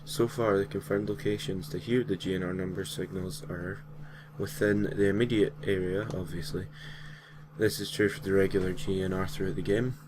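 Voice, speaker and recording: A man's voice: low-pitched, flat delivery, normal speed, background noise. A man narrating a gaming story in an informal way, reading from a script with casual, slightly hesitant asides. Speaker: host and narrator of a gaming creepypasta series.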